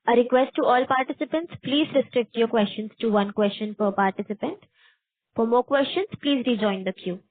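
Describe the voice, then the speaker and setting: A woman's voice: on the high side, no echo. A woman making formal, polite scripted announcements as a conference call operator. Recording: dry narrow phone line.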